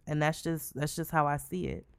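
A woman's voice: low voice, steady tone, moderate pace, creaky, smooth, dry recording, studio quality. A woman in her late twenties co-hosting a podcast, talking casually and bluntly with frequent swearing. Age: 20s. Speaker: podcast co-host. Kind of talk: casual chat.

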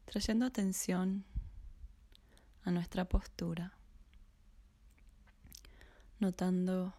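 A woman slowly guiding a body scan meditation in a soft, half-whispered voice. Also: lowish voice, steady tone, no echo. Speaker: meditation guide.

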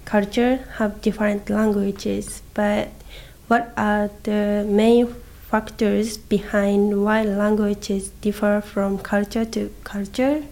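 A woman whose first language is Japanese hosts a student podcast. Her voice stays flat and even in pitch. She phrases her questions carefully. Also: takes it slowly, low-quality recording. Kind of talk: podcast interview.

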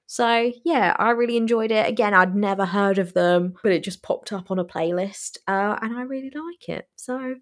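A woman's voice: medium pitch, moderate pace, few pauses. Chatty woman reviewing music. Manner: chatty, enthusiastic, laughs often. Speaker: podcast co-host. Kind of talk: casual music review chat.

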